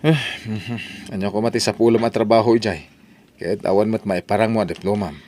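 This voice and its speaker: A man's voice: fairly low pitch, steady tone, a little fast, dark tone, slight background noise, close to an everyday voice. A man acting as a frank friend and advisor in an Ilocano audio drama. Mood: questioning.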